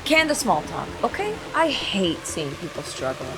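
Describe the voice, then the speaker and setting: Cocky, animated female bounty hunter played by an actress. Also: mid-range voice, normal speed, over music, close to an everyday voice. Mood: mocking, flirty. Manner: sarcastic, blunt, teasing. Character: tough, cocky, sardonic female mercenary-turned-bounty hunter. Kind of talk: audio drama acting.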